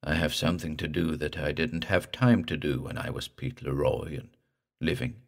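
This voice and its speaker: A man voicing an undead drowned man in a horror story, with a slow, creepy, guttural, gurgling drawl and a vengeful temper. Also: rumbling low. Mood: eerie, brooding.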